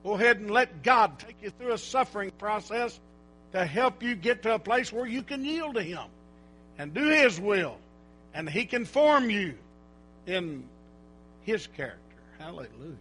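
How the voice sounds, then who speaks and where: A man preaching informally in church, rambling and folksy, breaking into loud exhortations. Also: high voice, expressive, normal speed, rough recording.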